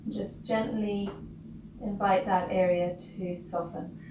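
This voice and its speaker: A woman leading a guided breathing meditation as a teacher, gentle and instructional, leaving long pauses between short phrases. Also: medium pitch, fairly even intonation. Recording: roomy, distant mic with loud background noise.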